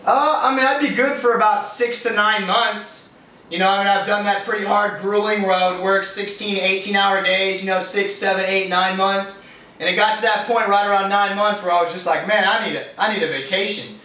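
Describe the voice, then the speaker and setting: Male, twenties, Californian accent, rambling casual interviewee, high voice, heard from a distance in a strongly reverberant space. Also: steady tone, fairly quick, sharp tone. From California, USA. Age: 20s. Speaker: interviewee, fitness business owner. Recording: echoey, distant microphone.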